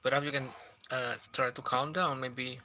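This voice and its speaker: A man chatting softly on a late-night livestream at home, often searching for his words. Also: mid-range voice, steady tone, moderate pace, slight background noise. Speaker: live streamer. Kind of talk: casual late-night livestream chat with viewers.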